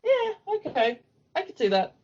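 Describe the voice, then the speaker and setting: High-voiced woman chatting on a podcast. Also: wildly swooping pitch, moderate pace, darkish tone. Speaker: podcast co-host. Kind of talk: casual podcast chat. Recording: dull lo-fi audio.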